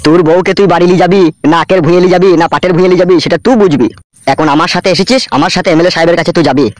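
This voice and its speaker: Man playing a fast, high-voiced bossy henchman. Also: dry recording, studio quality, lightly acted. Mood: scolding. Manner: scolding, insistent, foul-mouthed. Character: bossy crude male henchman of a politician. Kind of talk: comedy cartoon voice acting.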